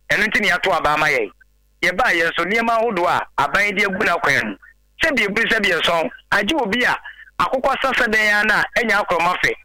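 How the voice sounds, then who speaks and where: A man's voice: high-pitched, pushed and bright, no echo, low-quality recording. A man with a Ghanaian accent calling into a radio show and speaking at length. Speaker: caller.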